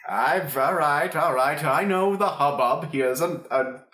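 Woman as a low-voiced, pompous, bumbling detective. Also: fairly bright tone. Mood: pompous, musing. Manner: pompous, wordy, deliberate. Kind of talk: improvised comic radio play acting. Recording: roomy, echoey recording.

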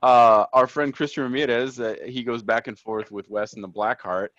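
A man's voice: mid-range voice, steady tone, normal speed, fairly bright tone, dry recording. A man hosting a casual sports podcast, chatty and upbeat, laughing often. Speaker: podcast host. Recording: dull lo-fi sound.

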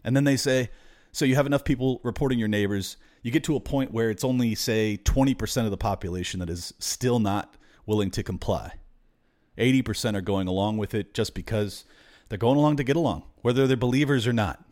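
A man with a somewhat raspy voice talks to his listeners as host of his own show. He rambles loosely from point to point, filling the gaps with ums and quick restarts. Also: medium pitch, a little mellow. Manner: rambling, freewheeling rant with fillers. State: slightly raspy voice. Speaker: podcast host. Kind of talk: casual political monologue.

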